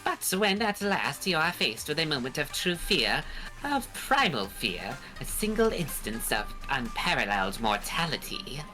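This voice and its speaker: Girl doing a sneering villain creature for a game, low bright voice, super swoopy, bit of music behind. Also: sing-song, exaggerated voice, poor audio. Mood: mocking, menacing. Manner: pompous, mocking, drawn-out taunting. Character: sneering theatrical villain creature, pompous and cruel tormentor. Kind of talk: hobby voice acting of a visual novel game.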